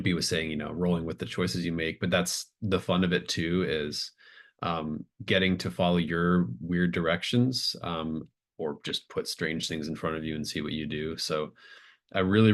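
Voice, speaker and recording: A man's voice: extremely low pitch, little pitch movement, normal speed. A man who runs and writes tabletop games, talking casually in a post-game chat.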